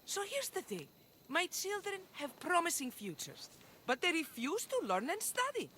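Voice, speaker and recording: Game role: gushing, long-suffering ancient Greek mother, high swooping voice, soft music behind. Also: big pitch swings, moderate pace, slight background noise, heavily acted. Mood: exasperated. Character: effusive, exasperated Spartan-era mother seeking help. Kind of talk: scripted video game cutscene acting.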